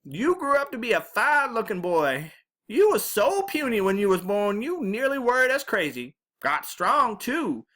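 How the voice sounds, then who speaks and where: A woman's voice: fairly high pitch, very animated, normal speed, a bit bright, pitched above the performer's own voice. A woman voicing a feisty, bossy old grandmother with a Southern American accent and a folksy, scolding, emphatic way of talking, as in a storybook. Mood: affectionate bossy.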